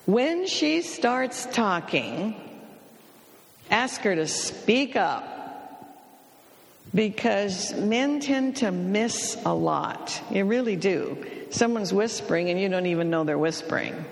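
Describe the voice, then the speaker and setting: Slow, wry woman in a reverberant hall. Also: mid-range voice, lively intonation, vocal fry. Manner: dry, wry and matter-of-fact, teasing the men. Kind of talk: advice talk to an audience.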